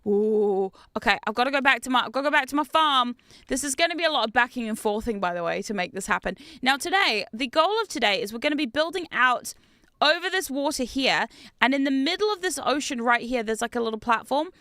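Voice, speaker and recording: Young Kiwi-British woman streamer, bright higher voice, dry close sound, chatty and animated. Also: fast, no echo. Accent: mix of New Zealand and British. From New Zealand. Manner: chatty, animated, reads chat aloud, laughs often. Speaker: game streamer. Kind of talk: casual gaming livestream chat.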